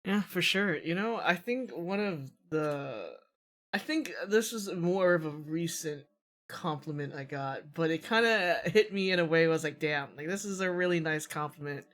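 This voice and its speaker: Bright-toned man, relaxed and reflective. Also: a little high, moderate pace. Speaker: panel guest. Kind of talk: casual panel chat.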